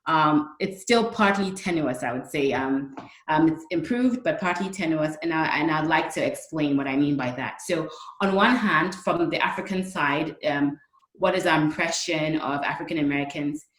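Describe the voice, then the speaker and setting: Female panelist, thirties, low voice, measured explanatory delivery, echoey room. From Ghana. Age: 30s. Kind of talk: considered panel remarks.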